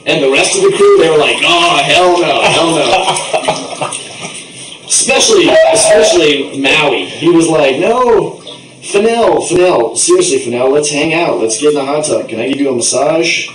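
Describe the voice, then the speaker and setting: A man's voice: a little high, animated, moderate pace. A man improvising a comic story as a puppet show narrator, with a playful, rambling, theatrical delivery. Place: bathtub in a bathroom. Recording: echoey bathroom, distant noisy mic, water sounds.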